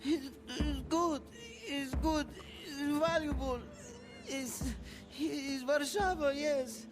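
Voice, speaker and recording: Terrified Polish smallholder, high and slow, broken English, over a music bed. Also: male voice. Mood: pleading. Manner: broken English, pleading, panicky. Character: frightened poor male Polish smallholder. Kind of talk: video game cutscene acting.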